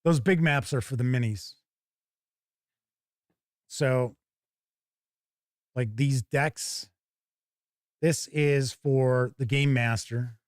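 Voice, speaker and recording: A man hosting a tabletop game show, giving casual, halting live unboxing commentary and thinking aloud. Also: medium pitch, no echo, clean studio sound.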